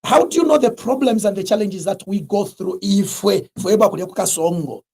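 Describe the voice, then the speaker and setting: A man's voice: sits high, fairly quick, gravelly, a little mellow, breathy edge. A man with a Zambian accent interviewing a candidate, asking direct, challenging questions and mixing in local-language phrases.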